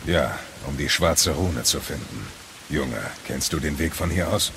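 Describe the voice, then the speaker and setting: A man playing a stern, terse warrior god and father in an audio drama, with a gravelly, commanding delivery. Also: a bass voice, lively intonation, measured pace, noisy background, heavily acted. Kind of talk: dramatic audio play acting.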